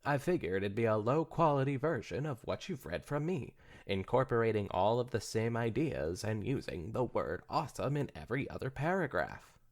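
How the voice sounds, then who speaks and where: A man's voice: medium pitch, very animated, moderate pace, hardly any pauses. A man voicing a composed, candid female pony novelist who gives articulate praise in a fanfiction reading. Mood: approving.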